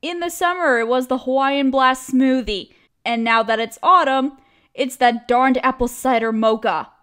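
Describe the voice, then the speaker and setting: A cute young female barista played by a woman with a high, girlish voice. She chats expressively in a casual audio roleplay, a friendly coworker who teases and complains playfully. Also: normal speed, a bit bright, clear, resonant tone. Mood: weary, teasing. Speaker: voice actress. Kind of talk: scripted one-sided audio roleplay, casual chat.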